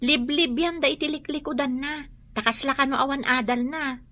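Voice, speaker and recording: A woman's voice: high voice, steady tone, moderate pace, noisy background, lightly acted. A woman playing a young, opinionated schoolgirl daughter in a radio drama. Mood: scornful, chatty. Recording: prominent music behind the voice.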